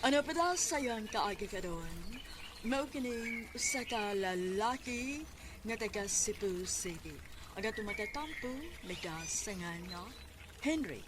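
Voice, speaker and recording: A woman's voice: medium pitch, lively intonation, a little slow, heavy background noise. A woman with a Cebuano accent hosting and announcing a radio drama in scripted narration. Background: music.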